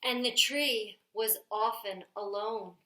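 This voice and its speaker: A woman's voice: medium pitch, slow pace, bright, forceful tone, slight background noise. A woman reading a picture book aloud to children as a story time reader, adding chatty little asides. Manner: chatty asides to young listeners. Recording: roomy, echoey home-style recording.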